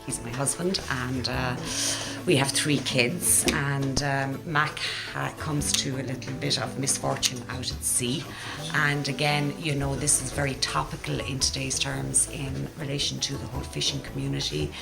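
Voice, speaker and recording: A middle-aged woman with an Irish accent giving an earnest, measured interview about her acting. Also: very deep voice, vocal fry, poor audio. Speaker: amateur radio drama actress being interviewed. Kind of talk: casual interview. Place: launch event at an arts centre. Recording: music playing behind.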